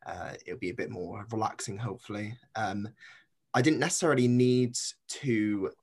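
A young man with a fairly low voice speaks in a casual, halting way, full of ums and uhs. He is a PhD student guest from Cornwall on a podcast, and he chuckles lightly as he goes. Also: breathy edge. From Cornwall, England. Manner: hesitant, many fillers, laughs lightly.

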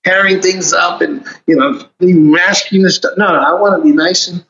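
A man's voice: high voice, moderate pace, creaky, fairly bright tone, dull audio, a little hiss or hum. A man in his forties, a guest on a casual podcast, talking candidly and in a laid-back way. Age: 40s.

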